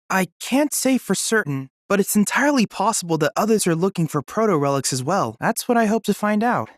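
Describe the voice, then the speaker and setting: A woman's voice: mid-range voice, dry recording, studio quality, lightly acted. A woman playing a polite, eager boyish android research assistant in a video game, speaking in a formal, precise, bookish way. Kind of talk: scripted video game voice lines.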